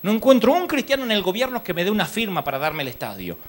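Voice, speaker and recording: A man with an Argentine accent preaching a sermon at a church congress, telling lively stories and mimicking the people in them. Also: high-pitched, fast. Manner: lively storytelling, mimics people in his anecdotes; rises to loud exhortation.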